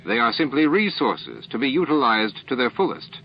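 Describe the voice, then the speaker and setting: A man voicing a calm, formal, self-assured alien leader in an audiobook, condescending and baffled by others. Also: medium pitch, normal speed, highs cut off, a little hiss or hum, voice pushed up. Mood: smoothly reasoning.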